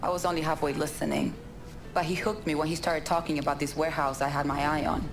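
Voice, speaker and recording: Hard-bitten smuggler lady, really low voice, curt and sweary, game character. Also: steady tone, quick pace, music in the background, rough recording. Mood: brisk, demanding. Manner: blunt, foul-mouthed, brusque. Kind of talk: naturalistic video game cutscene acting.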